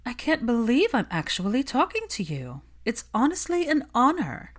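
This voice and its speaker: A woman voicing a young, smug society gossip and husband-hunter in an audiobook, with an affected, bright, confiding manner. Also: a little high, wildly swooping pitch, normal speed, voice pushed up. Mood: gushing.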